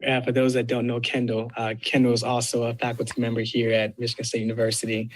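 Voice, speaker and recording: A young man moderating an online panel discussion, introducing each speaker with frequent pauses and filler sounds. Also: mid-range voice, monotone. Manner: halting, many filler uhs.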